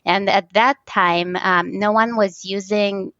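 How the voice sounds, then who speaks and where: Woman guest, thirties, measured with many ums, dry, slightly muffled line. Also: medium pitch, steady tone, fairly bright tone, no echo. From Romania. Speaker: data scientist guest. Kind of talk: conversational interview.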